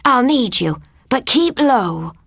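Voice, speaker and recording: A woman voicing an excited, urgent old grandfather in an audiobook ghost story, with a husky, breathy voice and coaxing dialect talk. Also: a little high, slow pace, no echo, clean studio sound, full character voice. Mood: urging. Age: older.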